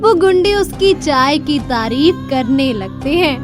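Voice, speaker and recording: Female storyteller for children's tale: very high voice, slow deliberate delivery, music underneath. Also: animated. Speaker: narrator. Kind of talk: scripted storytelling narration for a children's moral tale.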